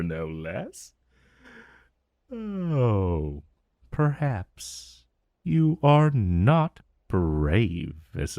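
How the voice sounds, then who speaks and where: An ancient, haughty dragon in human form, acted by a man for fantasy ASMR audio. The voice is fairly low and extremely unhurried, recorded close and dry. It purrs with theatrical condescension, teasing the listener with veiled menace. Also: darkish tone, dry recording, exaggerated voice. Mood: haughty, probing. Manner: slow, theatrical, condescending purr with mocking endearments.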